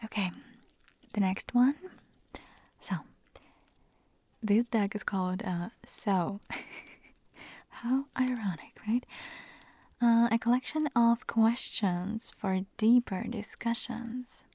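A woman whispering in an ASMR audio, her voice hoarse and raspy as she nearly loses it, giggling and making chatty, self-deprecating asides. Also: on the high side, slow pace, pauses often, warm tone, no room sound. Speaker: ASMR creator.

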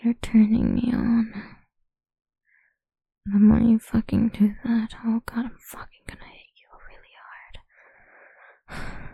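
A woman's voice: on the high side, flat delivery, slow, creaky, pauses often, mellow, breathy edge, no echo, full character voice. A woman voicing a cruel, domineering woman who taunts in a crude, menacing whisper in an audio roleplay.

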